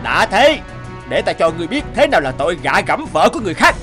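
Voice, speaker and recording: A man's voice: high voice, sing-song, fast talker, over music. A man voicing a brutish, jealous husband in a cartoon folktale, blustering and scolding as he threatens. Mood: jealous rage. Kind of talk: animated folktale dubbing.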